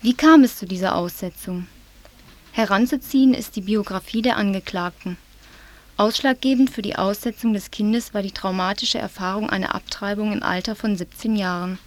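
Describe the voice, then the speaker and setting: A woman presents local news in a measured, serious reading voice at a middling pitch. She is from southwest Germany. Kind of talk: read-out news report.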